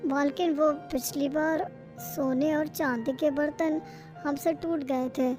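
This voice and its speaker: A woman's voice: sits high, fairly even intonation, slow, over music. A woman voicing a meek, deferential servant in a subdued, apologetic way for a cartoon story. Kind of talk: animated moral-story acting.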